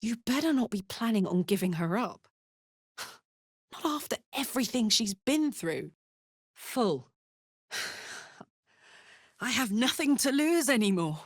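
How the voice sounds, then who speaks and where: A woman playing a brash, warm-hearted, tough tiefling warrior in a video game, speaking in a blunt, slangy, heartfelt way. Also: fairly high pitch, lively intonation, takes it slowly, long pauses, no echo, clean studio sound. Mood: heartfelt, resolute. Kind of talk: video game character voice acting.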